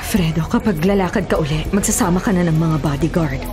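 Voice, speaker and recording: A woman's voice: lowish voice, brisk, natural voice. An actress playing melodramatic radio drama women, an anxious wife and a ruthless businesswoman. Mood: worried. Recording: music and crowd noise behind.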